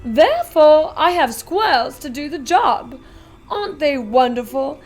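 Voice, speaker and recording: A woman reading aloud and voicing an eccentric, excitable male chocolate factory owner who talks loudly and briskly. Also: high-pitched, faint music behind. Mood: eager, instructive. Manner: loud, brisk and commanding.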